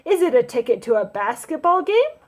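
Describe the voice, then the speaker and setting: A woman voicing a fretting, coaxing mother in a children's storybook, with emphatic, drawn-out delivery. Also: high voice, big pitch swings. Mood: concerned.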